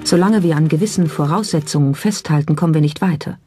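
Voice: medium pitch, fast, warm tone. Documentary narrator with music behind. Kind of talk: scripted narration.

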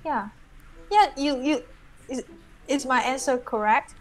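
A young woman from China, friendly and encouraging, speaking halting English over a video call from a small bright room. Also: high voice. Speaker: stranger on a video chat. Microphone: earphone mic on a video call.

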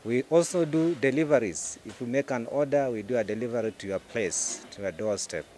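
A middle-aged man with a Kenyan accent, a store manager giving promotional interview answers in short, halting phrases into a handheld mic. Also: medium pitch, warm tone, slight background noise. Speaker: supermarket branch manager, interviewee. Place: inside a supermarket. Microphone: handheld microphone. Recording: faint music behind the voice. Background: faint music.

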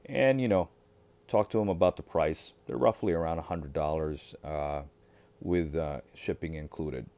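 Low mellow-voiced guy showing off a pocket knife at his table, easygoing, lots of ums. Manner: relaxed, unhurried, with fillers and trailing off. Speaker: knife collector reviewer. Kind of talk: casual show-and-tell. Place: indoors at a cutting-mat table. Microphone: overhead camera on hands, voice from off-frame.

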